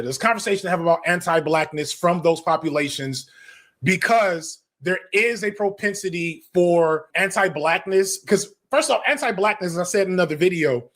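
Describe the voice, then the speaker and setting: High-pitched, emphatic American man. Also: animated, brisk, a bit bright. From United States. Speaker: video essayist and commentator. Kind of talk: opinionated off-the-cuff commentary.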